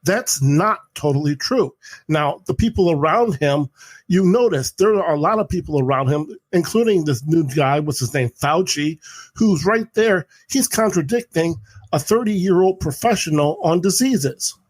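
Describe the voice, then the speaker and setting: A man co-hosting a podcast in casual chat, insistent and opinionated, with quick apologetic asides. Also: a little high, lively intonation, slightly rough, no room sound. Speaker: podcast co-host.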